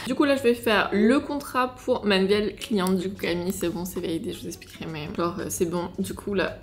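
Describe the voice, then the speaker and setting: A woman's voice: mid-range voice, darkish tone, slight background noise. A woman in her twenties, vlogging casually and chattily at home, with fillers, restarts and little laughs. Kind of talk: casual vlog chat. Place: home, then walking outdoors.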